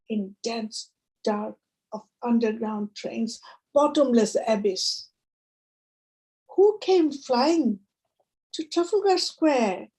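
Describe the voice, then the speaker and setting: A woman with a Bengali accent reading her poetry and introducing it to listeners in short, deliberate phrases. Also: on the high side, dark tone, rough recording. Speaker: poet.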